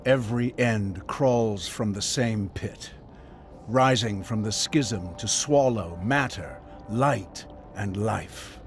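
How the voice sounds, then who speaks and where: A man's voice: lowish voice, takes it slowly, slight background noise. A man playing an old mystic warlock in a video game, intoning prophecy in a solemn, incantatory manner. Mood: foreboding. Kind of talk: dramatic game narration.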